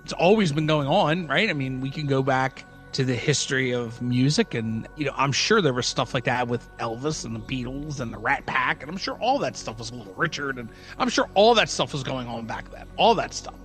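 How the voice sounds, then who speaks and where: A male podcast co-host with an average-pitched voice. He chats informally and loosely, rambling from one example to the next, with faint music in the recording. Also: lively intonation, a little fast, hardly any pauses, fairly bright tone. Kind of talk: casual conversational podcast talk.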